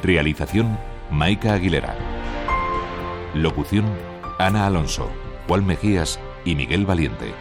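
A man's voice: deep voice, lively intonation, a little slow, pauses often. A man reading scripted broadcast credits as a radio announcer. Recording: loud music under the voice.

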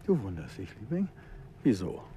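An actor playing a composed, sharp-witted male detective in a 1950s radio play, with a dry, understated, teasing manner. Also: deep voice, huge pitch swings, normal speed, a little hiss or hum. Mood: knowing. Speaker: detective husband.

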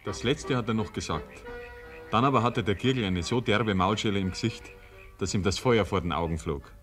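A man with a Bavarian accent narrating a 1950s radio play in measured, rustic storytelling. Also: fairly low pitch, music in the background, lightly acted. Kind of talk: scripted literary radio narration. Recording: noisy old 1950s radio recording.

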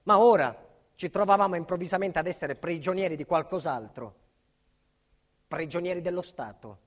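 A man's voice: high-pitched, moderate pace. A male actor playing a middle-aged family man under state protection, insistent and emphatic, in a dramatic stage monologue. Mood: grave narration. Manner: emphatic, insistent, repeats himself.

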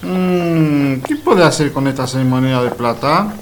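Un hombre como campesino sencillo, voz algo aguda. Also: male voice, fairly high pitch, unhurried, slight background noise, natural voice. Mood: pensive. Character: Pedro, pensive polite countryman wanting a horse. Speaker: amateur radio theatre actor. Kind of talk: radio theatre acting.

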